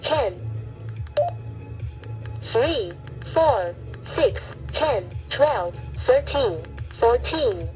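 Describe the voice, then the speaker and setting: A woman's voice: on the high side, lively intonation, very slow, pauses often, darkish tone, soft background music, poor audio. A synthetic woman's voice making clipped, mechanical announcements through a small handheld radio speaker. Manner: clipped, mechanical word-by-word delivery. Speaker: radio voice prompt. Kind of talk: automated channel announcements.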